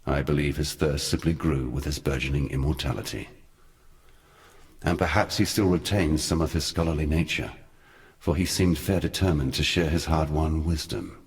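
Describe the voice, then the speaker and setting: A man playing an ancient, sardonic god in a video game, telling dark lore in a measured, theatrical and eloquent way with a weighty voice. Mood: wry, brooding. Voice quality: very deep, weighty voice. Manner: measured, theatrical, wry storytelling. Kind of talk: scripted video game character monologue.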